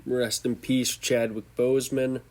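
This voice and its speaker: Young male host, stuffed-up from a cold, unhurried, ad-libbed ranking chat. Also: mid-range voice, flat delivery. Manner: loose, off-the-cuff, thinking aloud. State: congested from a cold. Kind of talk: casual tier-list commentary.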